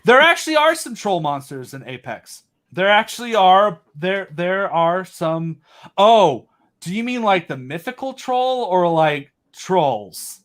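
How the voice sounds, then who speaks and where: Higher-pitched man chatting casually. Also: big pitch swings, measured pace, fairly bright tone. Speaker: podcast guest, audio drama creator. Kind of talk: casual enthusiastic chat.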